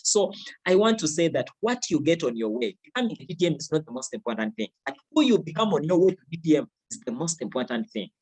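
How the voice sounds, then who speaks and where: A man's voice: fairly high pitch, sing-song, quick pace, darkish tone, husky, poor audio. A man with a Ugandan accent giving a motivational speech over a video call, repeating his phrases for emphasis. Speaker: club speaker.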